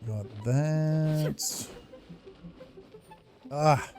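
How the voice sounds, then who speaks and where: Male gamer host: relaxed, gravelly fry, casual muttered play-by-play, faint music. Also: fairly high pitch, drawn-out words. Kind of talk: casual, muttered game commentary. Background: faint game music.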